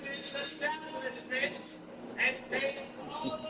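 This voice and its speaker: A man playing a game over voice chat, joking through deadpan, silly mock-villain rants. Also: extremely high pitch, slow pace, reverberant, over loud music. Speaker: game player. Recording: distant mic with background noise.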